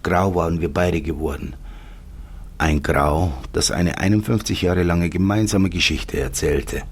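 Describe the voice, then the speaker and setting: A man reading a scripted first-person story as a wistful narrator, in a measured way with dramatic pauses. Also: deep voice, husky, exaggerated voice. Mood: wistful, calm. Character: wistful male narrator mourning an imagined life.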